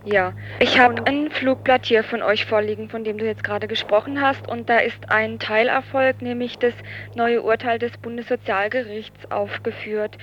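A woman's voice: a little high, fairly bright tone, dull audio, a little hiss or hum. A woman presenting and interviewing for a radio news programme, asking questions in a factual way.

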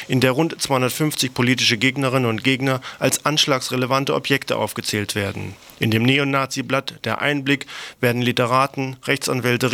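A man presents a political news report on the radio with a noticeably creaky, gravelly voice. His pitch sits in the middle of the male range, and he reads from a script. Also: fairly bright tone.

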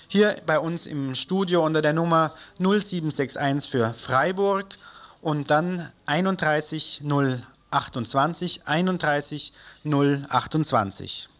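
A man's voice: on the high side, fairly bright tone. A man presenting community radio news and announcements from a studio, reading from a script with the odd stumble.

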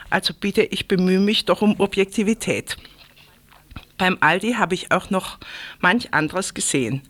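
A woman's voice: on the low side, fairly bright tone. A woman giving a radio market report as a scripted first-person column, chatty and wry in her storytelling.

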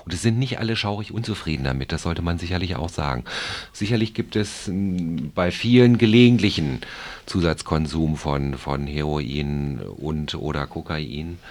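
Man, deep voice, clinician in a panel discussion, halting, deliberate, explanatory. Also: a little mellow. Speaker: drug treatment programme clinician.

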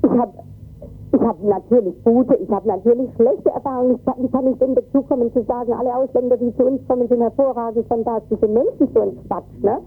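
Distressed high-voiced woman, agitated and halting. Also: fairly even intonation, husky, phone-quality sound, soft background music. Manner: agitated, halting, repeats words, tags sentences with "ne". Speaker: interviewee, volunteer refugee worker. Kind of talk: emotional eyewitness account in an interview.

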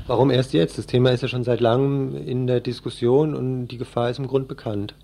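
A man presents a local radio news magazine and puts questions to guests. His voice sits in the middle of the male range, with a soft, dark, mellow tone. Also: mid-range voice, level tone, brisk, creaky.

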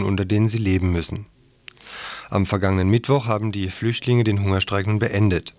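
A man's voice: lowish voice, darkish tone, no echo. A man reading scripted news as a radio presenter.

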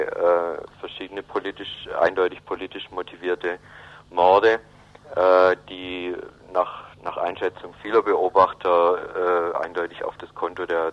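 A man giving a halting, explanatory answer in a radio interview. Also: deep voice, level tone. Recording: dull lo-fi radio recording.

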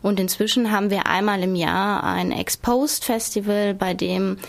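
Young woman explaining clearly, medium pitch. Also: steady tone, moderate pace. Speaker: interview guest, exhibition curator. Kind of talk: explanatory radio interview.

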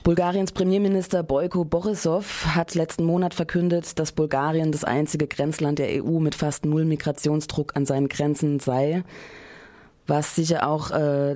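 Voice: mid-range voice, warm tone. A radio host of unclear sex presenting a report in an informal, somewhat hesitant way, partly reading and partly explaining freely.

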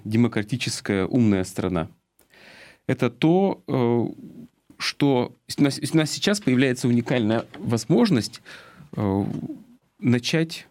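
Low-voiced man giving earnest political commentary. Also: darkish tone, no echo. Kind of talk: political commentary, speaking off the cuff.

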